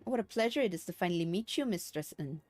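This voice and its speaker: A woman voicing a courtly, flattering military recruiting officer from a fantasy game, formal and earnest. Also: fairly low pitch, fast. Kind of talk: video game dialogue read aloud. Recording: game music behind the voice.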